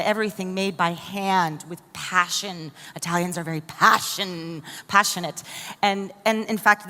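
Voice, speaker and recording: A woman's voice: medium pitch, pushed and bright. American woman speaking in a big hall. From United States. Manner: enthusiastic, addresses the audience directly with questions. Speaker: conference speaker. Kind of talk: keynote presentation. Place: large conference hall. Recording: long hall reverb.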